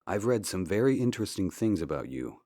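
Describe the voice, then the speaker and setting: An actor playing a polite, earnest amateur investigator in an adventure game, speaking in a courteous, measured way. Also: male voice, deep voice, very animated, warm tone. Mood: polite, curious. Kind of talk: scripted point-and-click game dialogue. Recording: music under the dialogue. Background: background music.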